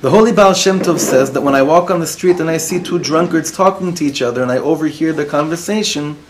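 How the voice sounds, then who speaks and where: A man's voice: on the high side, fast talker, noisy background. A man, a rabbi, teaching a live class to an audience in the room, mixing Hebrew and Yiddish words into his talk and putting questions to his listeners. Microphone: recorded for web students.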